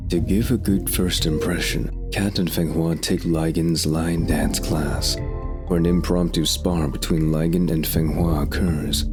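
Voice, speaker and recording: A man delivering scripted narration that recaps an audio drama story. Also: very deep voice. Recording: music under the voice. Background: music.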